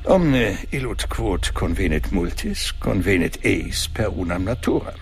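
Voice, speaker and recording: A man's voice: deep voice, expressive, a little slow, vocal fry, husky, soft background music, heavily acted. A man in a radio drama playing a learned cleric who lectures in a didactic way. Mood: lecturing. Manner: learned, didactic, quotes Latin. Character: scholarly male cleric, lofty and sermonizing. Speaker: churchman at a forum.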